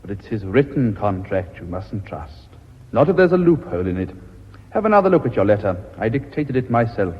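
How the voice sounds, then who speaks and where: An actor playing a sly, mocking business associate in a radio drama, with a husky voice. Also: male voice, on the low side, very animated, brisk. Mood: smug, mocking. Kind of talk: British radio drama acting. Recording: dull, reverberant radio drama recording.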